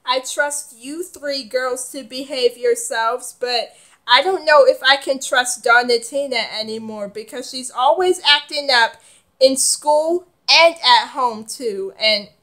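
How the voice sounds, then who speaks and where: A woman's voice: high voice, takes it slowly, bright, forceful tone, full character voice. A woman playing a firm mother who lectures her children. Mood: calm. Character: stern, scolding mother.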